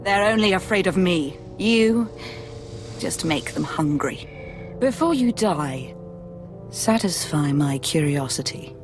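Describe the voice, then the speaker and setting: A woman's voice: lowish voice, animated, slow. A woman voicing a cold, taunting, imperious assassin and master of beasts in a video game. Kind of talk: video game dialogue acting. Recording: music behind the voice.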